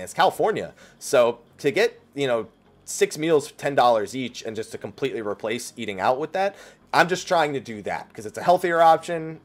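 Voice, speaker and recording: A man hosting his weekly Q&A stream, chatting casually and rambling with lots of fillers and restarts, his voice slightly raspy from talking a long time. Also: mid-range voice. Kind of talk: casual chat.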